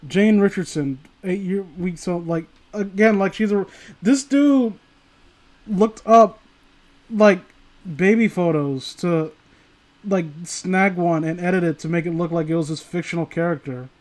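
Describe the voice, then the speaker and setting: Guy with a pretty high voice streaming, reads stuff out loud n rambles, swears, keeps breaking off. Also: a little slow. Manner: sweary, informal, rambling, often breaking off mid-sentence. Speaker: streamer reading stories aloud to viewers. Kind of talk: casual livestream reading with mocking commentary.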